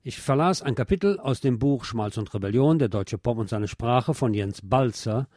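A man's voice: medium pitch, normal speed, a little mellow, no room sound, studio quality. A man reading scripted narration as a podcast host.

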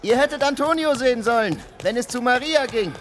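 An actor in a German radio play playing a dutiful young merchant's clerk, evasive and discreet, with polite formal speech. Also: male voice, very high voice, expressive, fairly quick, fairly bright tone, a little hiss or hum. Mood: eager, explaining.

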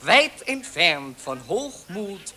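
An actor playing a self-important newspaper reporter, declaiming pompous patriotic phrases in 1940s radio satire style. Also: male voice, sits high, sing-song, takes it slowly, sharp tone, exaggerated voice. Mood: self-important fervour. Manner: pompous, declamatory, repeats slogans. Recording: old 1940s radio recording.

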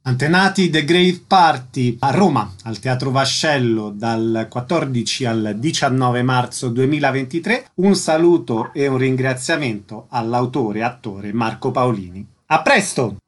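A man's voice: mid-range voice, a little slow, hardly any pauses. A man working as a radio interviewer, delivering concise scripted questions and announcements.

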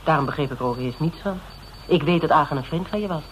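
Man playing a methodical, higher-voiced detective, hissy radio drama. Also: natural voice. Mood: measured, insistent. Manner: measured, explanatory, quietly probing. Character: persistent male private detective questioning a suspect, methodical and polite. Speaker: actor. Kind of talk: Dutch radio drama dialogue. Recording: noisy old radio drama recording.

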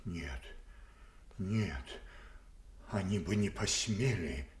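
A man's voice: low-pitched, animated, extremely slow, full character voice. An actor playing a proud, indignant old king in a classical audio tragedy, with an imperious, weighty, declamatory delivery. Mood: disbelieving. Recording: echoey roomy studio recording.

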